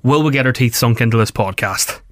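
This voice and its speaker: Tired, jokey radio host. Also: male voice, medium pitch, brisk, fairly bright tone, a little hiss or hum. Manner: chatty and jokey, a bit tired. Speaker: radio breakfast show host. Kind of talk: casual podcast chat.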